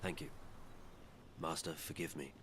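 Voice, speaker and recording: A devout warrior apostle for a fantasy game. His voice is very deep, formal and deferential, with an earnest solemnity. Clear background noise sits behind it. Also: a little slow, a bit bright, heavily acted. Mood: polite, restrained.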